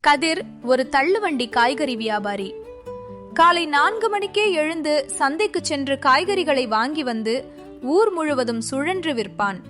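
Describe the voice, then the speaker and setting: A woman's voice: high voice, expressive, unhurried, a bit bright, soft background music. A woman narrator reading a scripted moral story for a cartoon.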